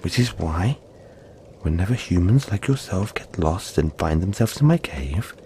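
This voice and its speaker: A man as a cuddly male yeti monster, low voice, swooping intonation, breathy reassurance, intimate audio roleplay. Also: animated, unhurried, dark tone, a little hiss or hum. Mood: warm, playful.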